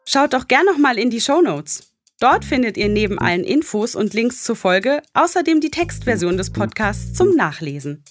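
A woman announces a podcast outro from a script. Her voice is on the high side and very melodic, with faint music underneath. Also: very animated, normal speed.

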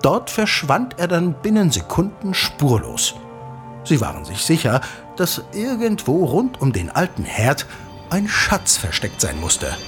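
An actor playing an eager father who recounts an old legend in an audio drama, animated, teasing and dramatic, like a keen storyteller. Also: a little high, a little slow. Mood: absorbed, storytelling. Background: music.

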